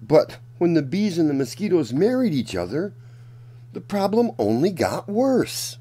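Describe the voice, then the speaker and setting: A man reading a children's picture book aloud as a storyteller, in a deliberate, dramatic manner. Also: a little high, very animated, mellow, husky. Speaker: children's storyteller and channel host.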